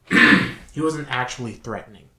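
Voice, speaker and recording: A man co-hosting a casual review discussion, thinking aloud in a halting way. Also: medium pitch, pushed and bright. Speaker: show co-host. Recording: roomy, echoing sound.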